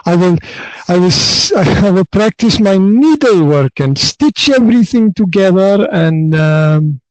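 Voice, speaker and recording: A man's voice: high voice, expressive, unhurried, a little mellow, no room sound, poor audio. A man from the north of Scotland, hosting a casual podcast interview over an online call, friendly and often repeating himself. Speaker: podcast host.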